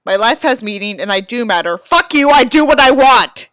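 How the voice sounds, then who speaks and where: A woman's voice: on the high side, expressive, normal speed, fairly bright tone. A woman hosting with casual, sarcastic commentary on a book she is reading. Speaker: video host.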